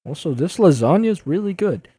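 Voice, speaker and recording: An actor playing a young masked superhero in an audio drama, sarcastic, flustered and easily exasperated. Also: male voice, fairly high pitch, very animated, moderate pace, mellow, breathy edge, heavily acted. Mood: defensive, sarcastic. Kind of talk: audio drama dub acting.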